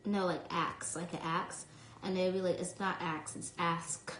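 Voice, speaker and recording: A woman's voice: fairly low pitch, fairly even intonation, a little slow, fairly bright tone, a little hiss or hum. Young woman, casual live-stream chatter, full of fillers. Phone mic, echoey room. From New York. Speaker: live streamer answering fan comments. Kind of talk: casual chat.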